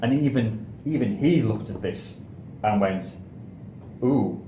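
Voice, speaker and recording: A man's voice: fairly low pitch, lively intonation, takes it slowly, mellow, muffled recording. A middle-aged British man co-hosting a casual review chat, rambling and often repeating and restarting his phrases. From United Kingdom. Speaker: co-host of a film review show. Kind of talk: casual discussion and reminiscence. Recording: roomy echo, faint background noise.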